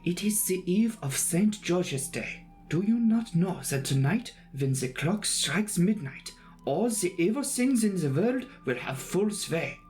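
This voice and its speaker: Guy doing a pleading old lady innkeeper for an audiobook, high voice, urgent and scared, some music behind. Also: lively intonation, measured pace, darkish tone. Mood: fearful warning. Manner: urgent, emphatic, imploring. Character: distressed, pleading, superstitious old woman innkeeper. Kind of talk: dramatic audiobook acting.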